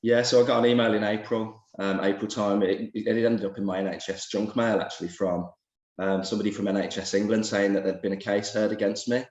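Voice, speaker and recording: A man with a hoarse voice from a cold, talking quickly and animatedly as a doctor interviewed on a show. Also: lowish voice, flat delivery, darkish tone, reverberant, dull audio. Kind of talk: video call interview chat.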